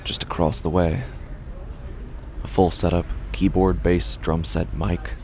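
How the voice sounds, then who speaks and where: A man playing a desperate, resentful busker who tells his own horror story in a brooding, gravelly voice that can drop to a hush. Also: deep voice, little pitch movement, a little slow, slight background noise. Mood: self-pitying. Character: desperate, resentful male street busker narrating his descent. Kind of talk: first-person horror audio drama narration.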